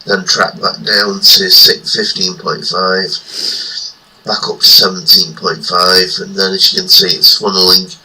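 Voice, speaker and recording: A man's voice: sits low, pushed and bright, husky, rough recording. A man with a stuffy nose from a cold, talking casually through market charts in an earlier clip. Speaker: market analysis vlogger. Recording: played back from an earlier video.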